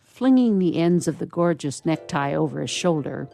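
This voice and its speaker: A woman's voice: low voice, lively intonation, moderate pace, creaky, warm tone, soft background music. A woman reading scripted stage directions as the narrator of a play.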